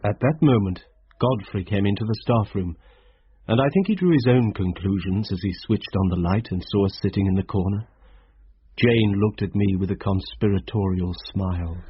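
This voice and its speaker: An actor playing a troubled, introspective schoolteacher who narrates a radio drama in a brooding, uneasy way. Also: male voice, on the low side, moderate pace, husky. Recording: muffled, lo-fi old radio recording.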